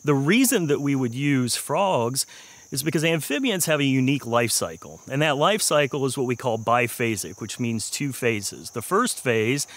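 A man in his forties with an American accent, explaining research in a measured way as a wildlife scientist in a documentary interview. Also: medium pitch, lively intonation, fairly bright tone. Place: outdoors in a wooded swamp. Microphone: lapel mic. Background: music, insects.

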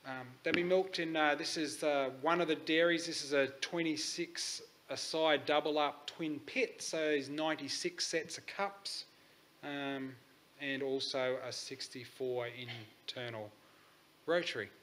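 A man's voice: on the high side, fairly even intonation, measured pace, fairly bright tone, a little hiss or hum. An Australian man giving a conference presentation, talking in a hesitant way with frequent ums. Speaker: conference speaker. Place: conference hall.

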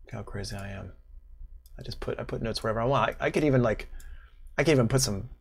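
A middle-aged man giving a casual live software demonstration, playful and muttering to himself as he works. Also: medium pitch, fast talker, vocal fry. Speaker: music production instructor.

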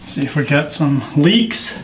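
A man's voice: a little high, expressive, a little slow, over loud music. A man hosting a home repair tutorial, talking plainly step by step as he explains the work. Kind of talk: how-to narration and muttering while working.

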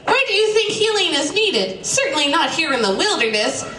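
A woman's voice: extremely high pitch, big pitch swings, moderate pace, voice pushed up, heavily acted. A woman voicing a solemn, wise female spiritual teacher with a ponderous, mock-mystical delivery. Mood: preachy. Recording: distant echoey room mic, loud background noise.

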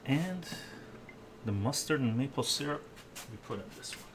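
A man's voice: medium pitch, moderate pace, heavy background noise. An older man live-streaming his cooking from a home kitchen, chatting and reading comments as he works. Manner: relaxed, chatty, reads chat aloud while cooking. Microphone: camera at distance. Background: clattering dishes and cutlery.

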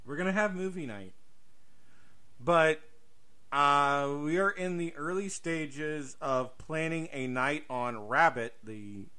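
A man's voice: a little high, takes it slowly, bright, forceful tone, smooth. A man co-hosting a podcast in casual promotional chat, jokey, exaggerated and rambling. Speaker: podcast host.

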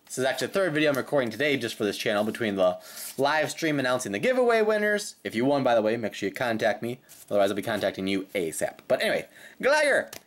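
Lively low-voiced woman, slightly raspy. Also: fast talker. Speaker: host of trading card pack openings. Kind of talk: casual unboxing commentary. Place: indoors at a tabletop.

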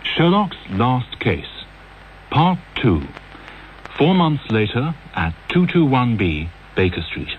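Slow male radio announcer. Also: medium pitch, big pitch swings. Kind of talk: scripted radio drama announcement. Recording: tinny, phone-like, hissy old radio recording.

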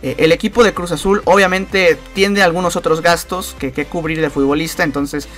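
A man hosts a football news channel in a rambling, filler-heavy way. His voice sits a little high for a man. Faint music plays under his voice-over. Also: steady tone, normal speed, fairly bright tone. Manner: rambling, many fillers and repeated phrases. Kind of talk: casual soccer news commentary.

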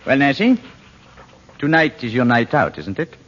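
An actor playing a cold, condescending, manipulative husband in an old radio drama, needling and then sharply commanding. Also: male voice, medium pitch, big pitch swings, moderate pace, a little hiss or hum. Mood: mocking, suave. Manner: smooth-worded needling, switching to sharp command. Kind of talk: 1940s radio drama acting. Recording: muffled old radio broadcast with long reverb.

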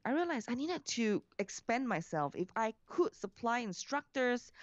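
A woman's voice: medium pitch, no echo. Female entrepreneur guest from Singapore, chatty storyteller, muffled dry remote audio. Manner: chatty, many fillers like "you know". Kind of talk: interview storytelling.

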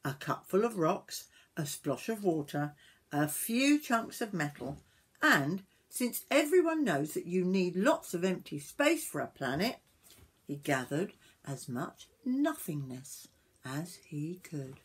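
A woman in her sixties reading a picture book aloud to young children like a grandmother, expressively, with drawn-out words and little asides to the listener. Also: lowish voice, very animated, slightly rough. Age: 60s. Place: small wooden summerhouse.

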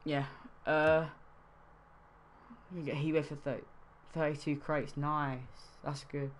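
A man's voice: on the high side, little pitch movement, a little slow, slight background noise. A young man from Wales streaming a game trading session, chatting casually and reacting to his live chat.

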